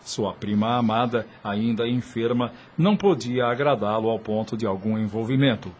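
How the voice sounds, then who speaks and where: A man's voice: mid-range voice, moderate pace, a little hiss or hum. A man with a Brazilian accent narrating a radio drama from a script in formal, literary style.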